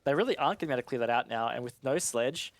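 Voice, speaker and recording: A man's voice: medium pitch, very fast, no echo. A man working as an esports commentator, giving live analysis in a conversational, explanatory way.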